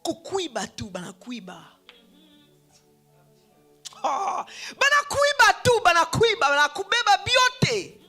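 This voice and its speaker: A woman whose first language is Swahili, preaching a sermon, dropping to hushed whispers and then rising to shouts, repeating phrases for emphasis. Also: very high voice, very animated, takes it slowly, long pauses, faint music behind. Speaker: preacher, addressed as apostle.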